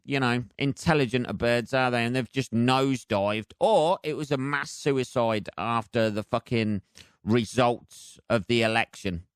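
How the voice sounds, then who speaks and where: A man's voice: mid-range voice, clear, resonant tone, dry recording, studio quality. A British man hosting a solo comedy podcast, ranting casually in a sweary, sardonic, rambling way. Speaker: podcast host.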